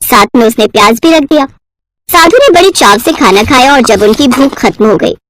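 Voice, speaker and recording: A woman's voice: fairly high pitch, lively intonation, normal speed, a bit bright. A woman narrating a scripted children's story expressively, as a storyteller.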